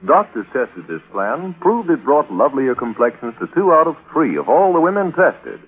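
A man's voice: a little high, wildly swooping pitch, moderate pace, slightly rough, phone-quality sound. A man reading a 1940s radio commercial as an announcer, emphatic and punchy. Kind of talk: 1940s radio advertising read. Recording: thin 1940s radio recording.

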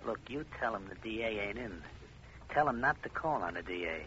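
An actor playing a brutal, sardonic, slangy gangster boss in a 1950s radio drama. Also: male voice, fairly low pitch, lively intonation, a little fast, sharp tone, a little hiss or hum. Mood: suspicious, sly. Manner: tough, slangy. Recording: muffled old radio recording.

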